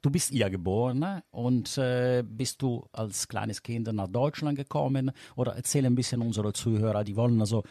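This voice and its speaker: Man, radio co-host, jovial, halting phrasing, dry close sound. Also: mid-range voice, mellow, dry recording. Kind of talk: casual radio chat. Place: radio studio.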